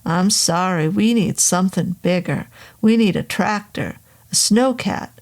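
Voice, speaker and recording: A woman's voice: lowish voice, a little slow, darkish tone. A woman voicing a congenial, joking caretaker man of sixty who talks in an easy, casual way. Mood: easygoing. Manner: easygoing, joking.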